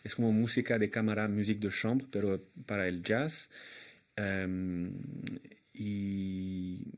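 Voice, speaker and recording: A young man speaks with a slight French accent, his voice sitting fairly low. He talks very slowly and hesitantly, pausing and restarting as he reaches for words while answering an interviewer. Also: flat delivery. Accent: slight French accent in Spanish. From Argentina and France. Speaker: jazz pianist and singer, interview guest.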